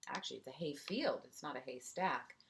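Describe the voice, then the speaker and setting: A woman's voice: very deep voice, a little fast, vocal fry. A woman teacher reading a picture book aloud to young children, expressively, with asides and questions to her listeners.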